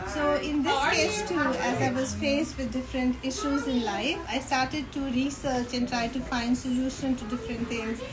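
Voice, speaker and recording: A high-pitched woman in her forties, a native Hindi speaker, calmly explains her work in a casual interview. She is recorded on a phone in a bookstore gallery, with loud chatter from a crowd all around. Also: normal speed, few pauses, low-quality recording. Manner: calm, explanatory, gestures while talking. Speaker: artist and author. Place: bookstore art gallery, indoors. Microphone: phone camera held by interviewer.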